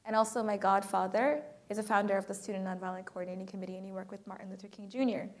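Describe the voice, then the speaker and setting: A woman from Philadelphia speaking on a conference panel, emphatic and animated. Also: medium pitch, rapid-fire. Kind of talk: panel discussion. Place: conference room.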